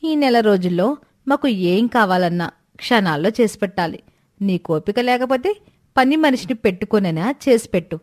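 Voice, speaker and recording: A woman's voice: mid-range voice, lively intonation, moderate pace, darkish tone, close to an everyday voice. A woman voicing a cheeky, scheming young village woman in a moral storybook tale, bold, coaxing and insistent.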